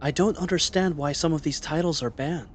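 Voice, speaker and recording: A man playing a curious, bookish young adventurer with an inquisitive, expressive delivery in a fantasy audio roleplay. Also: a little high, brisk, soft background music, lightly acted.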